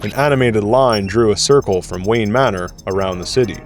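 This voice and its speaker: A man's voice: fairly low pitch, a little fast, faint music behind. A man narrating an audio drama in a scripted storytelling style.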